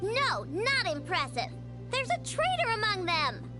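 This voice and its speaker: A stubborn young girl mechanic in a video game. The voice is very high and feisty, with theatrical stress, stretched words and lively pitch movement. Music plays clearly behind the lines. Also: exaggerated voice. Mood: indignant. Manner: emphatic, theatrical, drawn-out words.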